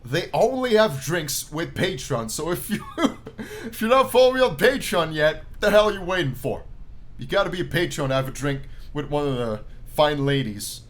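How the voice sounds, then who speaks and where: A man's voice: a little high, lively intonation, normal speed. A man giving jokey playthrough commentary as he reads a visual novel aloud, often repeating phrases for emphasis. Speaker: gaming video host.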